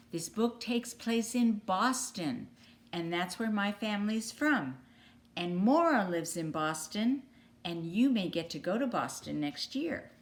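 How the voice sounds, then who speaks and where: A woman from the United States reads a picture book aloud for children. Her voice sits a little lower than most women's. She narrates as a storyteller would. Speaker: storybook reader.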